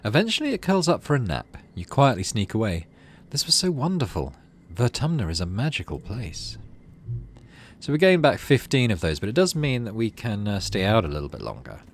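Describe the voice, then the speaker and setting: A man's voice: on the low side, wildly swooping pitch, a little fast, slight background noise. A man doing let's play commentary on a game, reading narration aloud and thinking through choices in tentative asides. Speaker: gaming video host.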